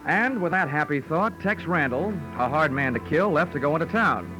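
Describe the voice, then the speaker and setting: An actor playing a wry, wisecracking American insurance investigator who narrates a 1940s hardboiled radio drama. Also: male voice, fairly high pitch, expressive, moderate pace, lightly acted, low-quality recording. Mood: wry narration. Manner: dry wit, wisecracking. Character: wry, tough male insurance investigator hero. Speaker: lead and narrator of detective show. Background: music.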